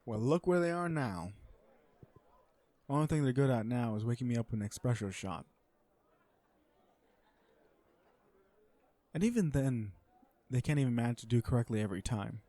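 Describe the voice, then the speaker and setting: A man's voice: medium pitch, animated, fairly quick, warm tone, dry recording, natural voice. Cocky, flirtatious villain businessman, played by a man. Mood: smug, exasperated. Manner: conversational venting, sardonic and self-assured. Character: cocky, flirtatious businessman supervillain on a blind date. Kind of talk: romantic comedy audio roleplay addressed to the listener.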